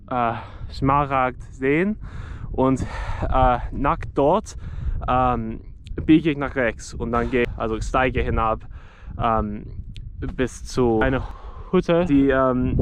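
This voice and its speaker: A man whose first language is English speaks slowly and haltingly, full of pauses and fillers, as he narrates a hike. He is outdoors on a trail, with clear ambient noise around him. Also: medium pitch. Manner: halting non-fluent speech with many fillers. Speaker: hiking vlogger. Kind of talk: casual walking vlog.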